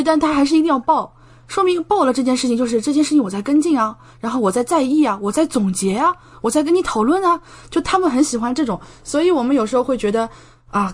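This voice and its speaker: A woman's voice: high-pitched, lively intonation, fast. A young woman chatting casually as a podcast guest, explaining things with plenty of concrete examples. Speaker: podcast guest, medical PhD student studying in Japan.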